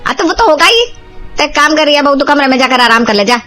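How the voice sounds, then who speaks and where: A woman's voice: high-pitched, lively intonation, fast talker, sharp tone, soft background music. A woman voicing a bossy, gullible mother-in-law in a cartoon story, exclamatory and scolding. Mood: bossy concern.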